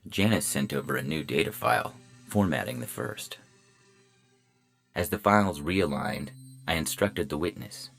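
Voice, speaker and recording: A man voicing a polite, officious robot lawman with a deadpan, clipped delivery in a science fiction audio story. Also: a bass voice, moderate pace, vocal fry, full character voice. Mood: coolly officious. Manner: deadpan, clipped, matter-of-fact. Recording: music bed under the voice.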